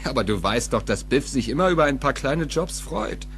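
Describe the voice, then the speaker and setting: A man's voice: medium pitch, animated, a little fast, fairly bright tone, faint music behind. An actor playing a confident, genial suburban father in a film audio drama. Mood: genial, amused.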